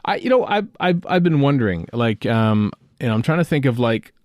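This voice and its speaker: A man's voice: mid-range voice, sing-song, normal speed, a little mellow, no room sound, studio quality. A man hosting a podcast, talking casually and thinking aloud in a rambling way. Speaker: podcast host. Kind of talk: casual panel chat about television.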